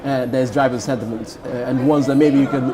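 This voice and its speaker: Fast-talking male interviewer, crowd behind. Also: mid-range voice, steady tone. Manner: eager, with many fillers and backchannels. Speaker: interviewer, show host. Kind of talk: business interview.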